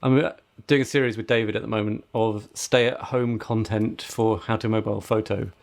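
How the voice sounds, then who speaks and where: A man's voice: fairly low pitch, fairly even intonation, normal speed, creaky, a little mellow. A man chatting casually as a podcast co-host, with an explanatory way of talking.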